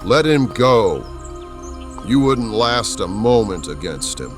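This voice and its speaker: A male actor plays a zealous, haughty cardinal, the villain of an audio drama. He speaks extremely slowly in a deep voice, pompous and imperious. Music plays behind him. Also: big pitch swings.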